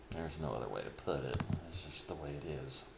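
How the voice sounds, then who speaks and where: A middle-aged man speaking a slow, heavy personal confession, pausing often and sighing. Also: extremely low pitch. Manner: slow, heavy, halting with sighs. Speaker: vlogger. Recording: echoey room with clear background noise.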